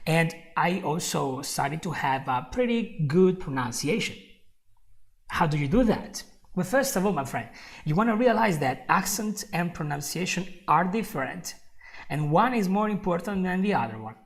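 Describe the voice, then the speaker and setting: A man's voice: fairly high pitch, expressive, normal speed, vocal fry. A young man with an Italian accent, a native Italian speaker, teaching a live online English lesson in an encouraging way, repeating phrases and speaking straight to his viewers.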